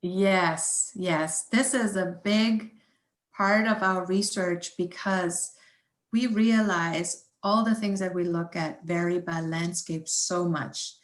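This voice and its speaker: A woman's voice: fairly low pitch, steady tone, takes it slowly. A woman with a German accent, a native German speaker, explaining things informally as a guest scientist answering questions. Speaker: guest environmental scientist and researcher. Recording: roomy, echoey sound.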